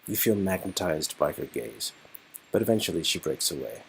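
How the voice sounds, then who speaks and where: A man's voice: deep voice, very animated, normal speed, gravelly, slight background noise, low-quality recording. A man playfully reading and playing a story game, casual and a little hesitant, often repeating himself. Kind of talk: casual playthrough with narration read aloud.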